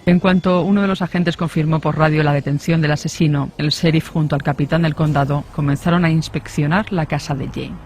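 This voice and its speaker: Male narrator, somewhat high voice, scripted true-crime storytelling, slight noisy background. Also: steady tone, brisk, hardly any pauses.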